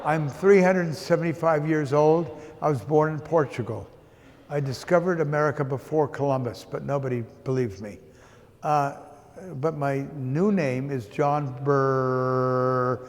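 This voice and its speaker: An elderly man guides an informal art tour in a voice full of fry and gravel. He speaks haltingly and deliberately, with a deadpan joking streak. His middling-pitched voice rings through a very reverberant indoor gallery. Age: 80s. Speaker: art gallery owner giving an exhibition tour. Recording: long echo of a hard-walled gallery.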